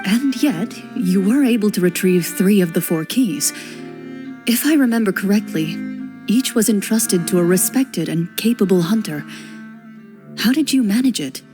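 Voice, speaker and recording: A woman voicing a composed, stern young female duelist in a game cutscene, speaking in a calm, measured, quietly commanding way. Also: medium pitch, over music, natural voice. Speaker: game character, a duelist hunter. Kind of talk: video game cutscene voice acting.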